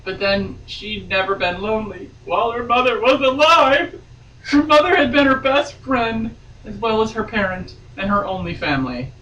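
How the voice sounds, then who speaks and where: A woman's voice: a little high, normal speed, fairly bright tone, highs cut off. A woman giving a theatrical, mock-serious dramatic reading of a romance novel as a guest reader. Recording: echoey room, distant mic, faint noise.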